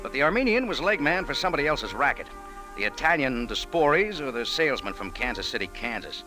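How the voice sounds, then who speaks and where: An actor playing a tough, slangy, sardonic American café owner and adventurer in a 1940s hard-boiled radio drama. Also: male voice, mid-range voice, sing-song, fast talker, natural voice. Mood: brooding, wry. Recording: old radio drama with prominent music.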